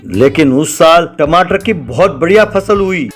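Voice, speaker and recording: Male narrator for a scripted comedy tale, slightly high pitch, music underneath. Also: animated, measured pace.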